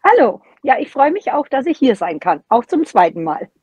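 A woman's voice: fairly high pitch, sing-song, moderate pace, a little mellow, husky, no echo, lo-fi sound. A middle-aged woman speaking as a podcast guest, telling personal stories in a casual way. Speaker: podcast guest, knitting video creator.

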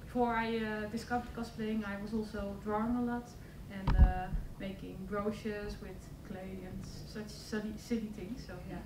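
A woman's voice: mid-range voice, flat delivery, normal speed, hardly any pauses. A young woman with a Dutch accent casually introducing herself as a panelist. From Netherlands. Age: young adult. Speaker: cosplayer on panel. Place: conference room with audience. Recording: echoey room, distant mic, noisy.

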